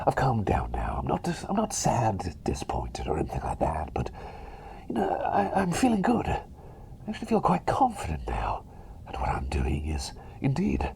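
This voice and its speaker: A man playing a cheery, eccentric, gentle plague doctor in a whispered, rambling and soothing ASMR roleplay. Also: fairly low pitch, vocal fry, full character voice.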